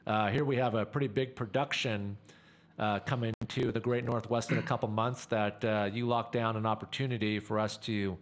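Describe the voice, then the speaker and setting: A man's voice: lowish voice, steady tone, normal speed. A man hosting a casual radio interview. He keeps repeating his words and filling the gaps with "uh". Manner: hesitant, repeats words, many fillers. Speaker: radio show host.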